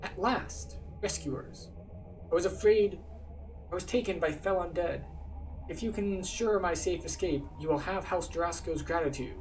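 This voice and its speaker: A man's voice: high voice, moderate pace, a little mellow, recorded from a distance, a little hiss or hum, pitched above the performer's own voice, lightly acted, low-quality recording. A man putting on a relieved rescued prisoner. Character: grateful rescued male prisoner.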